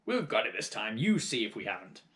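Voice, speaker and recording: A man's voice: fairly low pitch, very animated, brisk, fairly bright tone. A man doing a blustering, bossy father. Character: pushy, excitable middle-aged father.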